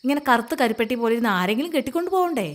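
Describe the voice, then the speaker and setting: A woman's voice: a little high, expressive, rapid-fire, close to an everyday voice. An actress playing a weary, practical middle-aged mother who chides in a matter-of-fact way in naturalistic film dialogue. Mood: nagging.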